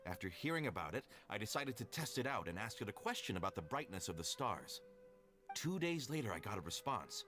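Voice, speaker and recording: Helpful scholar, lively intonation. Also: male voice, fairly low pitch, a little fast, soft background music. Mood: eager, pleased. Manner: explanatory, articulate. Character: helpful, matter-of-fact male scholar in fantasy game. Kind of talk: video game character dialogue.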